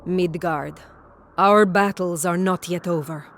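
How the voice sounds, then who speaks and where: A woman's voice: fairly low pitch, fairly even intonation, slow. A woman playing a determined, serious warrior companion in a video game cutscene, speaking earnestly and directly. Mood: urgent resolve.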